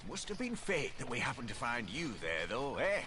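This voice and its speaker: An actor playing a jovial rustic wagon driver in a video game, talking in folksy, colloquial dialect. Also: male voice, medium pitch, very animated, moderate pace, background noise, natural voice. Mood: jovial storytelling. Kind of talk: video game cutscene acting.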